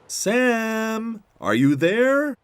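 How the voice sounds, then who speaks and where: A man voicing an excitable, puzzled young talking tractor in a children's storybook. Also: very high voice, takes it slowly, no room sound, pitched above the performer's own voice, heavily acted. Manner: exclaiming, bewildered.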